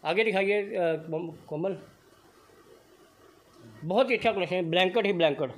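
Man in his own voice, fairly high-pitched, pushy sales hype, phone-camera sound in a shop. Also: moderate pace. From Punjab, India. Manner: upbeat, repetitive hard-sell patter. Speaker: shop video vlogger. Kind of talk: excited sales walkthrough. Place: indoor blanket shop.

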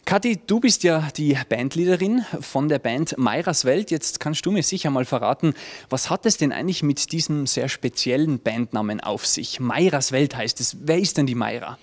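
A young man hosting a TV music show, asking questions in an upbeat, smooth presenter style into a handheld mic in a stone-walled venue. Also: on the high side, lively intonation, normal speed. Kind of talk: TV interview hosting.

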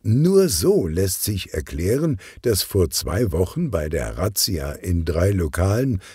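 Man voicing an animated, friendly policeman. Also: low voice, slow, husky, no echo, studio quality, exaggerated voice. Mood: earnest, concerned. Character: sporty, friendly male police officer, capable colleague.

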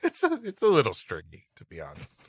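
A man's voice: mid-range voice, huge pitch swings, a little fast, slightly rough, no echo, studio quality. A man co-hosting a comedy podcast, reading an old story dramatically and trading comic banter.

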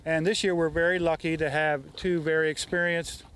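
An older man giving unscripted interview answers outdoors in halting, hesitant phrases. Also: fairly high pitch, flat delivery, fairly bright tone, clear, resonant tone, low-quality recording. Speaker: yacht club commodore, interview guest. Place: outdoors on a waterfront dock. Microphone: interviewer's handheld microphone. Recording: outdoor sound, faint boat-side noise.

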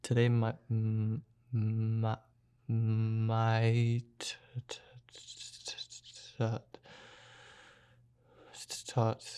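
Young man, low voice, flat delivery, halting, soft-spoken, personal narration. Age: young adult. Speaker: student filmmaker narrating his own story. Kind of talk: personal narration for a short film.

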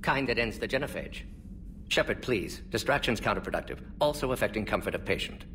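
An old alien doctor from a video game, precise and brainy, with lively swings of pitch. He drops small words and talks in terse fragments. He sounds slightly off-mic, with noisy ambience behind. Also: brisk, far from the mic. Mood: firm, brisk. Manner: clipped telegraphic phrases, analytical, precise.

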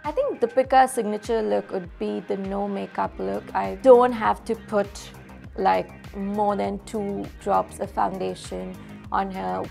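A woman in her thirties, a makeup artist, looks back warmly on how she started. Her voice has a heavy gravelly fry, and soft music runs underneath. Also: medium pitch, fairly even intonation, unhurried. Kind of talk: sit-down interview. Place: studio flat with makeup table, indoors.

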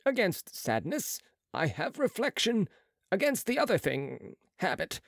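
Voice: mid-range voice, wildly swooping pitch, measured pace, gravelly, no echo, pitched above the performer's own voice, heavily acted. A reader putting on a proud, boastful young male surgeon. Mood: lofty. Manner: boastful, lofty, sharp retorts. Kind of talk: audiobook character reading.

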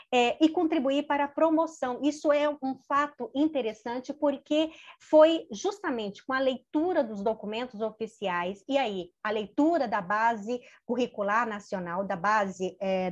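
A middle-aged woman giving an academic lecture over a video call, pausing with fillers and restarting her phrases. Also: on the high side. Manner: hesitant, many fillers and restarts. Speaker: keynote speaker. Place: home office with bookshelves. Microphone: video call webcam. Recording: dull lo-fi video call audio.

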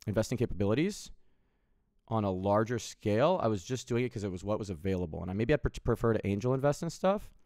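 A man's voice: on the low side, lively intonation, fast, darkish tone, no room sound, clean studio sound. A man of thirty streaming from home, rambling in casual chat and swearing freely, with lots of restarts. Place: home room with window, indoors.

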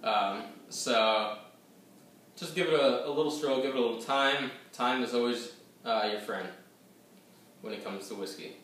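A young man talks to the camera about a whiskey, rambling and hesitant, with many fillers and restarts. His voice sits at an ordinary pitch but rings in a very echoey room. He sounds a little distant from the mic, with faint ambient noise underneath. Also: a little slow, pauses often, a bit bright. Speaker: whiskey reviewer. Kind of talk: casual tasting review to camera. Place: small room at home, seated at a table.

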